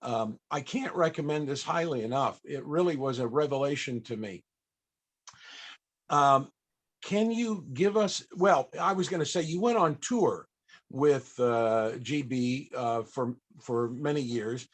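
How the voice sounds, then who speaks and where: A man hosting a panel interview in a conversational way, with halting, effusive phrasing as he works toward his questions. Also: medium pitch, expressive.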